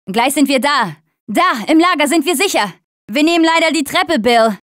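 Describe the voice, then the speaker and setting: A young woman survivor in a zombie apocalypse game, tough and wry. Her voice is high and recorded very dry. She delivers short callouts to her team. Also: animated, normal speed, a bit bright, no room sound. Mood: determined, wry.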